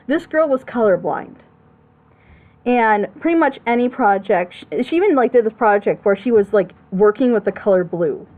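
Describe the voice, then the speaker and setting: A woman's voice: a little high, animated, normal speed, phone-quality sound. A woman commentating in a casual, opinionated chat, emphatically repeating her points. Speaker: reaction show commentator.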